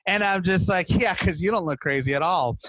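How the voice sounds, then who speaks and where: A man's voice: high voice, a little fast, fairly bright tone, slight background noise. A man hosting a podcast in a casual, chatty, informal way, often repeating his phrases. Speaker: podcast host.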